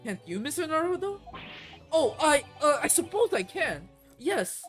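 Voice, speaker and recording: A woman's voice: high-pitched, big pitch swings, unhurried, faint music behind, voice pushed up. A romantic young woman, read aloud by a streamer, gushes wistfully in a raised, swooping voice. Her lines rise and fall with rapturous feeling. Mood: gushing. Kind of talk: reading game dialogue aloud on stream.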